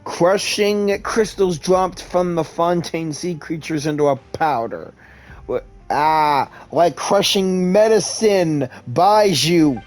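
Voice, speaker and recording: A woman's voice: on the low side, takes it slowly, darkish tone. A woman streamer giving casual let's-play commentary, reacting to a game in laid-back short asides. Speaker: gaming streamer. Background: game music.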